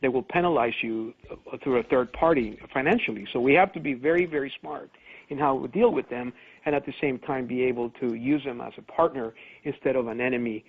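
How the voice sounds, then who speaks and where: A man's voice: medium pitch, a little fast, breathy edge. A man, a criminology professor, giving confident, explanatory commentary as a radio guest. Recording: tinny phone line.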